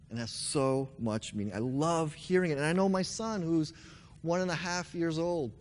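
A young man preaching a sermon with a hoarse voice, earnestly leading his congregation in song. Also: a little high. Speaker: pastor. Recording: long reverb hall.

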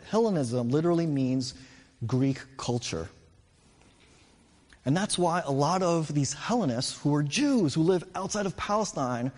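Pastor preaching in a reverberant hall. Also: male voice, fairly high pitch, a little mellow. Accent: New York. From New York. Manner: explanatory storytelling, rising and falling emphasis. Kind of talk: sermon.

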